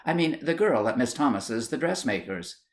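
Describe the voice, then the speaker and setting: A man's voice: medium pitch, sing-song, normal speed. A man voicing a placid, easygoing Victorian husband in a storybook, mild, polite and unruffled. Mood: casual.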